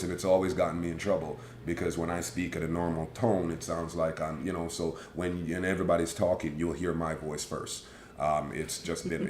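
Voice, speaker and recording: A man with a big, booming voice, hosting a casual live stream and telling a rambling, animated story from his school days. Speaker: host. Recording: echoey room with faint background noise.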